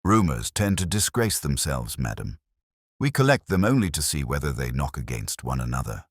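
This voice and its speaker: Man playing a very deep, measured police inspector. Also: big pitch swings, husky, no echo, clean studio sound, full character voice. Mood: mild, wry. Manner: measured, dryly wry, formal. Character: mild, courteous, shrewd male police inspector.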